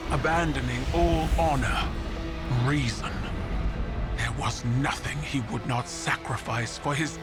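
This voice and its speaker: Grave storyteller for game cinematic, male actor, mid-pitched, slow dramatic narration. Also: lightly acted. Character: grave, foreboding storyteller of a fallen tyrant. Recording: loud music behind.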